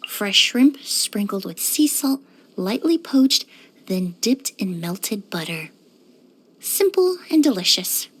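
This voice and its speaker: A woman playing a courteous, composed young woman in a video game, speaking politely and earnestly with careful, measured wording. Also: mid-range voice, very animated, slightly rough, exaggerated voice. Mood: relaxed, pleasant. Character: poised, courteous young woman perfumer and forensic cleaner. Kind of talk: scripted video game character voice lines.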